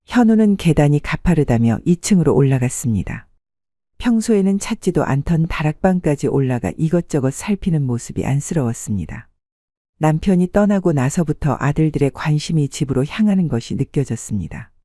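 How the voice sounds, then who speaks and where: Woman reading a sad family story aloud, even and wistful, slightly husky, dry close mic. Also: sits low, fairly quick, no echo. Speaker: storyteller narrating in first person. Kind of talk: scripted audio drama narration.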